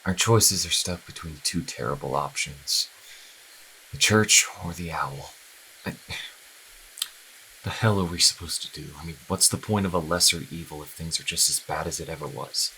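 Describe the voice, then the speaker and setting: A man playing a troubled, conflicted young priest in an audio drama, halting and brooding. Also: very deep voice, pushed and bright, heavily acted, poor audio. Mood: frustrated. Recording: faint background noise.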